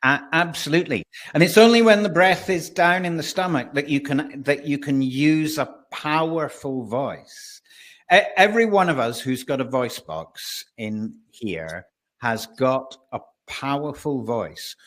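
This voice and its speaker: Animated male voice coach. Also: fairly high pitch. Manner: animated, restarts often, slows for emphasis. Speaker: voice and presence coach. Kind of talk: webinar guest explaining.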